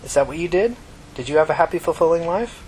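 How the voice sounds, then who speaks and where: Man reading as an earnest, high-pitched young woman. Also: animated, fast, a little hiss or hum, natural voice, rough recording. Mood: curious. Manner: questioning, blunt, sympathetic. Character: earnest, inquisitive young woman, a little bitter.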